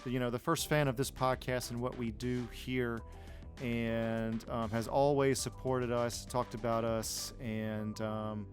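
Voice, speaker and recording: A man's voice: mid-range voice, level tone, a little slow, soft background music. A man co-hosting a podcast, talking in a laid-back, rambling way. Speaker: podcast co-host. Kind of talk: casual podcast chat.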